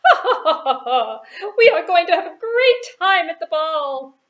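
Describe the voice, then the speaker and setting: A woman voicing cruel, bossy, gloating stepsisters in a puppet show for children, loud and demanding. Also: extremely high pitch, sing-song, normal speed, dull audio, pitched above the performer's own voice, heavily acted. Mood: gloating, mocking.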